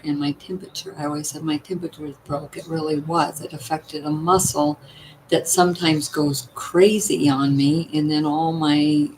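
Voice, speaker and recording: A woman's voice: deep voice, a little hiss or hum, rough recording. An older woman with a partly worn, weakened voice, chatting to her viewers at home in an emphatic way, often asking "you know what?". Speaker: vlogger sharing health tips. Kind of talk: casual talk to viewers.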